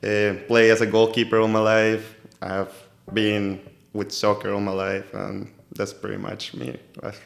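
Young Colombian man, low voice, roomy. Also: monotone, unhurried, vocal fry, hardly any pauses. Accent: Colombian Spanish. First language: Spanish. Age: 20s. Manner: easygoing, laughs often, many "like" fillers. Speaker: podcast guest, goalkeeper coach. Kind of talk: casual interview chat. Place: office room used as a podcast studio. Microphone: studio mic on a stand, headphones.

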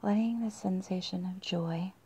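A woman leading a guided meditation in a soft, breathy, husky near-whisper with long pauses, in a dull, muffled recording. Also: mid-range voice, slight background noise.

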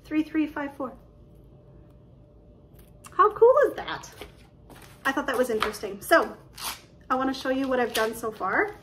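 Excited chatty woman vlogging. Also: high-pitched, noisy background. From Canada. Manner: quick, excited, chatty with frequent fillers and laughs. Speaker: cross-stitch vlogger. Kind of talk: casual show-and-tell chat. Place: living room at home, indoors. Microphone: webcam at desk distance.

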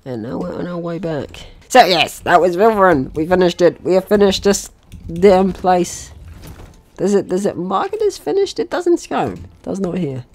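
A woman's voice: lowish voice, very animated, normal speed, a little mellow. A woman giving casual, rambling and playful let's play commentary as host, swearing now and then. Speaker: gaming video host.

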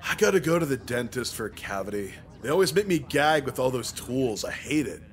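Man as an awkward teenage high school boy. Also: sing-song, a little fast, lightly acted. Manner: hesitant, stammering, mild. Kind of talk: visual novel voice acting.

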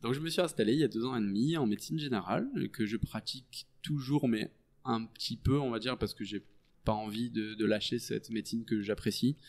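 A man in his thirties, a French doctor, explaining his work in a relaxed podcast chat full of hesitations. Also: mid-range voice, fairly quick. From France. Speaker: guest doctor. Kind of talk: casual podcast interview.

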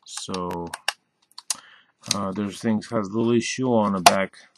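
A man casually demonstrating a gadget as a reviewer, in halting, plain-spoken narration at a desk. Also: fairly low pitch, fairly even intonation. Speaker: reviewer and channel host. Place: at a cluttered desk indoors. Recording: clearly noisy, clicks and typing sounds.

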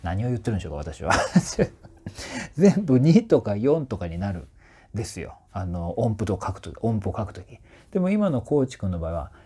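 A man in his forties giving casual, explanatory commentary to camera as a voice trainer, in a slightly husky voice. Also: fairly low pitch, moderate pace. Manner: animated, often sings short phrases to demonstrate. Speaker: voice trainer reviewing singers. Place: small indoor room with curtains.

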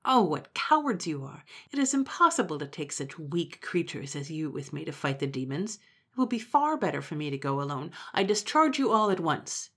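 A woman's voice: on the low side, moderate pace, darkish tone. A woman voicing a young male hero who leads an animal army, speaking in a firm, commanding way. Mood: scolding.

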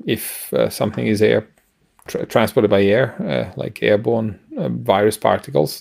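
A middle-aged man co-hosting a casual news discussion over a video call, speaking haltingly with frequent pauses. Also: on the low side, vocal fry, a little mellow. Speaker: podcast co-host. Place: home room, indoors.

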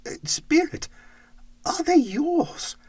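A man voicing an anxious, pleading old male miser in a storybook reading, in a high strained voice. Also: sing-song, slow pace, a little mellow, no room sound, full character voice.

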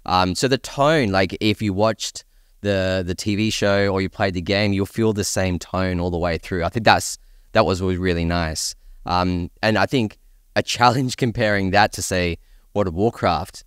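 A man with a deep, resonant voice speaks as a panelist in a casual discussion. He explains things in a measured way, recorded dry and close. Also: clear, resonant tone, no echo. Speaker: panelist on a video game talk show.

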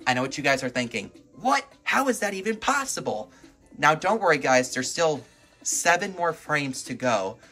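A man doing casual, excitable gameplay commentary as a video host, reacting aloud as he plays. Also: on the high side, moderate pace, slightly rough, soft background music. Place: indoors at home, filming a TV.

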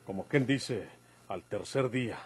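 A man's voice: on the low side, a little slow, slight background noise. An actor with a Mexican accent playing an aggrieved rustic man in a vintage radio drama, muttering colloquially. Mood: bitter grumbling. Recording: loud music under the voice. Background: loud music.

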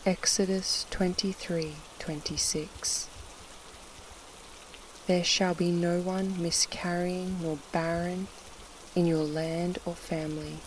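A narrator reading scripture and prayer for a sleep meditation in a measured, solemn way with pauses between short phrases, in a devotional style. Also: mid-range voice, slight background noise, low-quality recording. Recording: steady rain sound over the voice. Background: soft rain.

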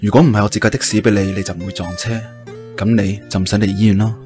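An actor playing an earnest, devoted young suitor in a radio drama, speaking insistently and with some guilt. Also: male voice, fairly low pitch, little pitch movement, racing, darkish tone, natural voice. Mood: apologetic. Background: music.

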